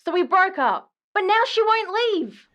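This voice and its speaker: Audio drama caller: aggrieved, gossipy woman, high and very animated. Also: unhurried, dull audio, full character voice. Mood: exasperated, aggrieved. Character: exasperated, dramatic woman caller griping about her ghost ex. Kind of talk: audio drama phone-in acting.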